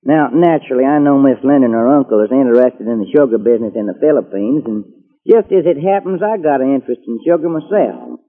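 A man's voice: mid-range voice, animated, fast, phone-quality sound, close to an everyday voice. A man playing a boastful, folksy, sly Texan with a drawl and a needling joviality in an old radio drama. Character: folksy, boastful, sly Texan cattleman type, possible villain. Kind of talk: 1940s-50s radio drama acting. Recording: thin old radio recording, long reverb.

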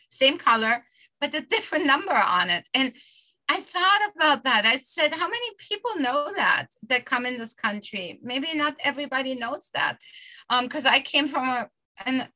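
High, bright-voiced woman teacher. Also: rough recording. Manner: lively anecdotal, laughs at herself. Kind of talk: panel discussion storytelling.

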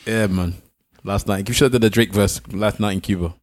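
A man with a hoarse voice, co-hosting a podcast and teasing his friends with jokes. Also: lowish voice, fairly even intonation, fairly quick, dry recording, studio quality. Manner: teasing, joking, laughs loudly. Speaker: podcast co-host. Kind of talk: casual banter.

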